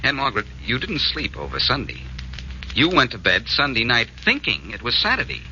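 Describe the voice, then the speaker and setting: A man's voice: mid-range voice, wildly swooping pitch, brisk, pushed and bright, highs cut off, slight background noise, full character voice. A man playing a confident, relentless amateur detective who presses leading questions in a 1940s radio drama on an old recording. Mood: pressing.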